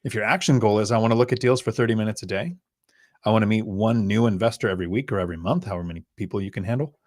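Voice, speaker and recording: Blunt, lower-voiced investor man. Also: racing, a little mellow. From Thailand. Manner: emphatic, instructive, blunt. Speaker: guest real estate investor. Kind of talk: casual podcast advice.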